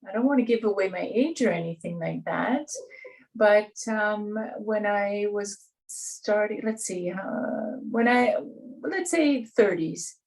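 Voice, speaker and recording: A middle-aged woman from Ontario speaks with a Canadian accent that years in German-speaking Switzerland have flattened. She answers thoughtfully, with pauses and restarts. Her voice sounds set back from the mic. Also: mid-range voice, distant mic, low-quality recording. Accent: Canadian English flattened by years in German-speaking Switzerland. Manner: thoughtful, with frequent ums and restarts. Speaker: guest, scientist. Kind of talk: conversational interview answers.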